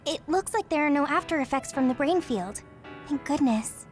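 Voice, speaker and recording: High, sweet young teammate. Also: female voice, lively intonation, moderate pace, faint music behind. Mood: relieved. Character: sweet, caring, timid young female teammate. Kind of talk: video game cutscene voice acting.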